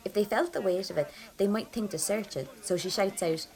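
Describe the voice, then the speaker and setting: A woman's voice: medium pitch, fairly quick, darkish tone, close to an everyday voice. An actress playing a nervy, plain-spoken young Irish rebel woman, telling her story in an intimate first person with an Irish accent. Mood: reminiscing. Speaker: narrator in an audio drama. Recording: clear background ambience noise.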